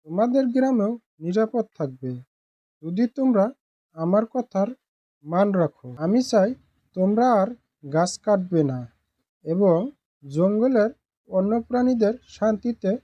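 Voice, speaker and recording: A woman voicing a calm, dignified talking tiger, guardian of the jungle, in an animated fable, with solemn, measured pleading. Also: medium pitch, no room sound, muffled recording. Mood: earnest.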